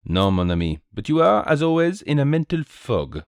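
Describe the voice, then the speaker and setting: A man's voice: fairly high pitch, big pitch swings, moderate pace, darkish tone, no room sound, clean studio sound, pitched above the performer's own voice. A man voicing a small, cheerful, self-assured detective with a courteous, precise, theatrical manner, in a storybook reading. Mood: knowing, animated.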